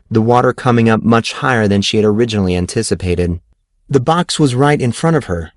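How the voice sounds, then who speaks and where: A man's voice: mid-range voice, no echo, clean studio sound. A man reading a novel aloud as scripted audiobook narration. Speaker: audiobook reader.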